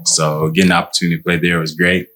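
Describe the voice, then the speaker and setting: A man, calmly telling his story as an interview guest. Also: sits low, rapid-fire, slight background noise. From Minnesota, United States. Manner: calm, matter-of-fact storytelling. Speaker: guest, pro basketball player. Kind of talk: casual interview answers.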